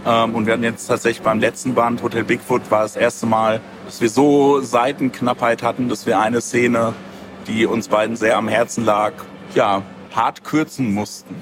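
A man's voice: medium pitch, flat delivery, moderate pace. A man speaking as a guest author in a casual interview, colloquial and wry in the way he talks. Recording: clearly noisy, ambient background noise.

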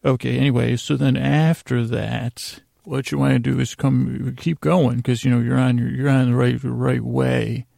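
A man hosting a solo bedtime podcast in a meandering, halting monologue, often interrupting himself and drifting into asides. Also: mid-range voice, flat delivery, warm tone, no room sound, studio quality. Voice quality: gravelly, creaky. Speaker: podcast host.

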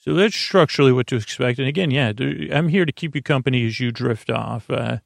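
A man hosting a sleep podcast, talking gently in a meandering, halting, goofy monologue with a creaky voice. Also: mid-range voice, no echo, clean studio sound. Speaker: podcast host.

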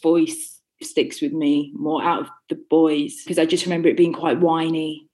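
A woman's voice: sits low, little pitch movement, moderate pace, mellow, poor audio. A woman answering questions about narrating audiobooks in a chatty way, laughing often and restarting her sentences. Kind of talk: casual Q&A chat.

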